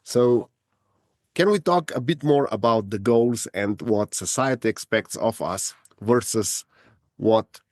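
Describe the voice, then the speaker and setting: A man with a fairly low voice hosts a podcast interview. He stops and repeats himself a lot while he asks his questions. The sound is dry and close-miked. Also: takes it slowly, no room sound. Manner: hesitant, many fillers and repeated words. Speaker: podcast host.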